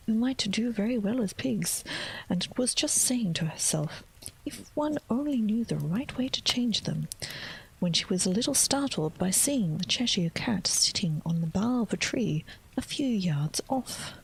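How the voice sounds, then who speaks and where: A woman reading a children's classic aloud in a soft, gentle whisper, as in ASMR storytelling. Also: mid-range voice, lively intonation, moderate pace, husky, rough recording.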